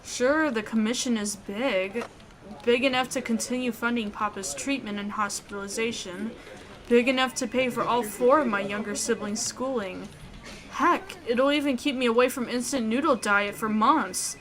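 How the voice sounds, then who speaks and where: Female let's player, bright and forward voice, fairly high, expressive story reading with exclamations. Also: normal speed. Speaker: gaming YouTuber reading aloud. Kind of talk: visual novel let's play, dramatic reading with reactions.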